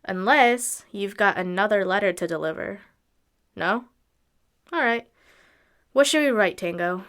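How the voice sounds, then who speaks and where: A woman's voice: medium pitch, animated, measured pace, a bit bright. A woman playing a practical, wary travelling musician with dry wit in an audio drama. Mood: matter-of-fact.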